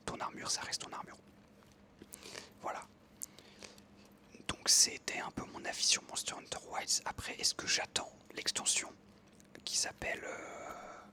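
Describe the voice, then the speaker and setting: Soft whispering ASMR gamer. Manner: soft whisper, casual and rambling with many fillers. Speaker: ASMR creator. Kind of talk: whispered ASMR chat over gameplay.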